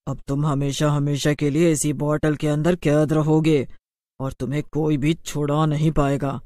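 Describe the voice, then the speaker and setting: A man's voice: fairly high pitch, level tone, unhurried, darkish tone, no room sound, natural voice. A man voicing a vengeful female witch ghost in a cartoon horror story, with a menacing, accusing, dramatic delivery. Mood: vengeful, mocking. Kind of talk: animated horror story dialogue.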